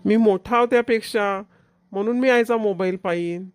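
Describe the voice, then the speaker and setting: A woman's voice: medium pitch, moderate pace, darkish tone, smooth, no echo, studio quality, lightly acted. A woman voicing a bossy, selfish big brother in a cartoon comedy, domineering, with a Vidarbha Marathi accent. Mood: scolding. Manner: domineering, scolding. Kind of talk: amateur cartoon comedy dialogue.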